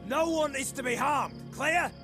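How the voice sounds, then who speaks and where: A guard captain from a fantasy game, commanding but anxious. His voice rides very high for a man, with slow, formal, emphatic phrasing. Music plays clearly behind him. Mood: tense bargaining. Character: embattled male guard captain, commanding yet anxious negotiator. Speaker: character actor in a fantasy video game. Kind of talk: cinematic game dialogue.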